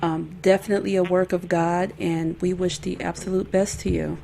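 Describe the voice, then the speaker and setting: A woman host with a lowish, gravelly voice and a dark, mellow tone. She speaks earnestly and wraps up the interview while soft music plays behind her. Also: flat delivery, moderate pace, a little hiss or hum. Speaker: podcast host. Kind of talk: interview wrap-up and outro.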